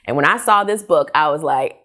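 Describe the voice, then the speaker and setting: Casting: American woman, middle-aged, lowish voice, candid and opinionated book vlogger, conversational home-video delivery. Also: animated, a little fast, fairly bright tone. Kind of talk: casual book review chat to camera. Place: small furnished room at home, indoors.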